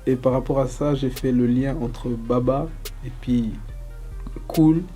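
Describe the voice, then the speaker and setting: Young man, from Congo, casual interview talk, easygoing, filler-heavy, dark warm voice, faint music behind. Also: medium pitch, unhurried. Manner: relaxed, loose, many fillers and "tu vois". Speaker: rapper interviewee.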